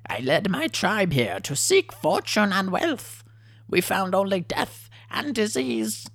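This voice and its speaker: Ndok, a squeaky kobold sorcerer. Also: male voice, wildly swooping pitch, a bit bright, dry recording, full character voice. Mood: solemn. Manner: halting, grave, formal broken speech. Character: small male kobold sorcerer, proud and fearful.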